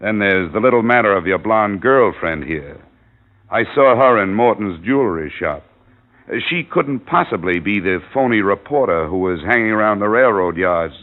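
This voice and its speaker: An actor playing a wry, tough insurance investigator in hard-boiled old radio style, deadpan and wisecracking. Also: male voice, on the low side, moderate pace, natural voice. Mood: cool, firm. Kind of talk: hard-boiled first-person radio narration and acting. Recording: tinny old radio recording.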